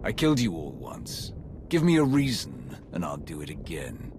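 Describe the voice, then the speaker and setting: A man playing a ruthless, bitter dark warrior in a video game, with a deep gravelly voice and a threatening edge. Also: big pitch swings, moderate pace, a little hiss or hum. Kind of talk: dramatic video game acting.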